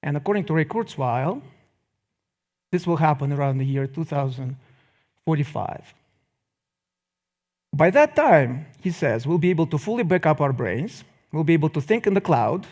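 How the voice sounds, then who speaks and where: A middle-aged man with a Russian accent gives a public lecture, phrasing deliberately with pauses and wry asides. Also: on the high side, very animated, a little mellow.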